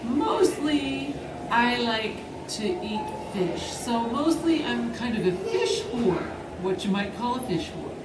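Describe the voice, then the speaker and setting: Woman doing a seal puppet, cheeky, matter-of-fact, slow, roomy sound, children's show. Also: fairly high pitch, lively intonation. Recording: roomy, distant mic, noisy ambience.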